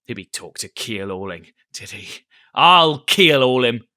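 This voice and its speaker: Brief: a man doing a cunning old one-legged pirate, loud and bright, salty sailor talk. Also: mid-range voice, lively intonation, moderate pace, dry recording, clean studio sound. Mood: excited.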